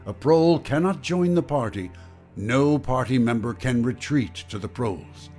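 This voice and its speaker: An actor playing a grave, knowing voice in a radio drama, speaking deliberately and theatrically. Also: male voice, mid-range voice, expressive, darkish tone, soft background music, natural voice. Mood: grave, declaiming. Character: grave narrator and needling, knowing inner voice. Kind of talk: dramatic radio play narration and acting.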